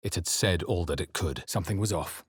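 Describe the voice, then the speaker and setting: A man playing a grave fantasy game adventurer, with solemn, measured, dramatic delivery as he narrates his journey. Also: low-pitched, husky, no echo, studio quality. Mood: solemn, intent. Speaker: video game player-character voice.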